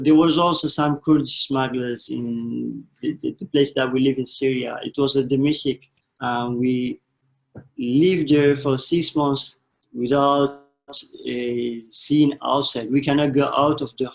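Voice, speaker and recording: Halting young man, gravelly voice. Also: mid-range voice, rough recording. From Somalia. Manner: halting, many restarts and fillers. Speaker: panel guest telling his refugee story. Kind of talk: personal testimony in a panel conversation.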